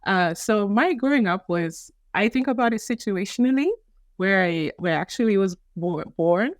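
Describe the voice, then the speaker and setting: A woman's voice: mid-range voice, lively intonation, normal speed, dry recording, clean studio sound. A woman from Kenya, a young adult founder, telling her story reflectively in an interview, joining remotely from home. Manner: reflective, storytelling with frequent restarts. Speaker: guest, company founder. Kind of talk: conversational interview. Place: home in Denver, remote call.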